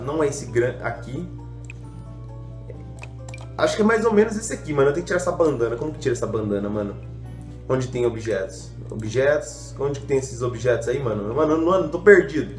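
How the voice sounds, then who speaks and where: Young man, slangy rambling gamer chatter, stuffy from a cold, echoey bedroom, faint music underneath. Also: fairly high pitch. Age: young adult. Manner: slangy, rambling, self-mocking. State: slightly congested from a cold. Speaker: gaming youtuber. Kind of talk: casual gameplay commentary.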